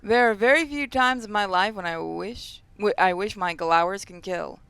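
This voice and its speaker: Female gaming host, casual commentary and read-aloud narration, smooth voice with heavy fry. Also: medium pitch, normal speed, fairly bright tone, dry recording.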